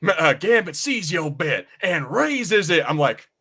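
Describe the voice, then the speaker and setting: A man co-hosting a casual pop-culture podcast, talking in a halting, sweary way. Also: a little high, big pitch swings, sharp tone, husky. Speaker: podcast co-host.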